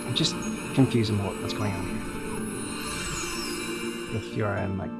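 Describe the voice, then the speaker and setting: A man's voice: fairly low pitch, fairly even intonation, moderate pace. A man hosting a casual game reaction, chatting with wry, joking asides. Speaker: reaction channel host. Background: game music.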